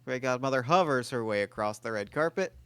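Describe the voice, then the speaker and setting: A man reading stage directions aloud at a table read, in a quiet, even voice. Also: medium pitch, fast talker, clean tone, no room sound.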